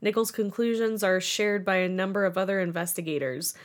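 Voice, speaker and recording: A woman's voice: medium pitch, steady tone, a little fast. Young woman, podcast co-host, playful, teasing, reads notes aloud. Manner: playful, laughs often, teasing. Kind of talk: casual podcast chat, reading research notes.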